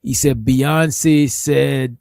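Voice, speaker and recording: A man's voice: medium pitch, fairly even intonation, drawn-out words, vocal fry, darkish tone. A man hosting a casual stream, reacting to a clip in a laid-back, profane, rambling way.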